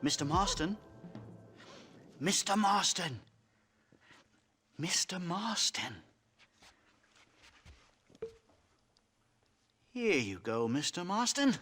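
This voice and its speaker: A man playing an excitable, pompous, bigoted professor of the old American West, stammering and agitated in a game cutscene. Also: high voice, slow pace, long pauses, faint music behind, full character voice. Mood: anxious, flustered. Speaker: voice actor. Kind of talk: dramatic game cutscene acting.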